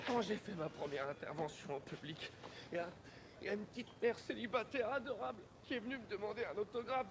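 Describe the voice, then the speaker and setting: An actor playing a cowardly, crude children's entertainer, melodramatic and self-pitying, in a dramatic film. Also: male voice, high-pitched, noisy background. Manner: frantic, crude, melodramatic, prone to yelling.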